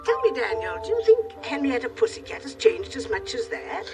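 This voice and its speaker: A fond, genteel lady with a fairly high, very animated voice. She is effusive and full of endearments, in children's television style. She sounds slightly off-mic, with music around her. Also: a little fast, recorded from a distance. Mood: astonished, fond. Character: affectionate, genteel lady visitor, fond and easily astonished. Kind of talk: children's television acting with puppets.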